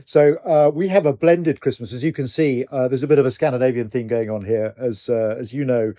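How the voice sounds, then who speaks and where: A man's voice: medium pitch, fairly even intonation, fairly quick, dark tone, no echo. An older man whose first language is Norwegian, co-hosting a podcast in casual chat, hesitant with many restarts. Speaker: co-host, retired general.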